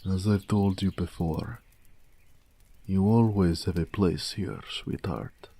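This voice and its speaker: Deep, slow-talking gentle orc blacksmith, acted by a man. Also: husky, heavily acted. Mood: tender. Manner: unhurried, tender, murmuring, musing. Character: gentle, affectionate male orc blacksmith, romantic and soft-spoken. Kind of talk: intimate romantic audio roleplay.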